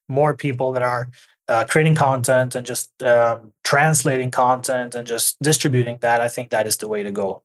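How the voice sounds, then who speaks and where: A man in his forties, from Argentina and raised in Norway, speaking as an interview guest in a thoughtful, hesitant way with many restarts. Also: medium pitch. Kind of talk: conversational interview.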